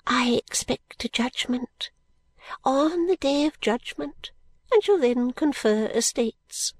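A woman voicing a nervous, genteel, eccentric elderly lady who speaks slowly and stately and drops into whispers. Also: expressive, no room sound, full character voice. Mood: hushed confiding. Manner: high, slow, stately and confiding, drops to whispers.

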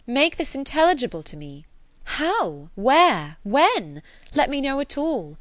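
A woman reading the lines of a lively young heroine in an audiobook, quick and eager, full of exclamations. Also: medium pitch, no room sound, close to an everyday voice. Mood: astonished.

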